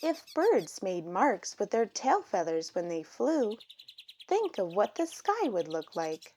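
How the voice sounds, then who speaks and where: A woman reading a children's picture book aloud, with deliberate, expressive phrasing for young children. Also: medium pitch, a little hiss or hum. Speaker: storybook reader.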